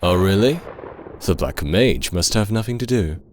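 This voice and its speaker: An insolent young antihero acted by a man for a fantasy game dub, fairly low in pitch with animated swings. He sounds cocky, taunting and defiant. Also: moderate pace, a little hiss or hum. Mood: mocking.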